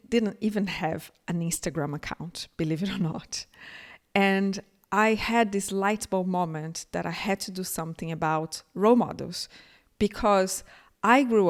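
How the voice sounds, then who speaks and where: Smooth-voiced Brazilian woman giving a keynote. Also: lowish voice. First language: Portuguese. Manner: earnest, encouraging, speaks directly to the audience. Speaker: keynote speaker. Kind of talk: motivational conference keynote.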